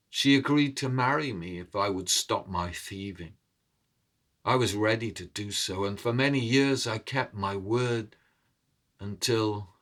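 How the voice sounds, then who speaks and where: A man as a solemn, slow-spoken old thief. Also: on the low side, a bit bright. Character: weary, proud old thief recounting his deeds. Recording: cello and violin music behind.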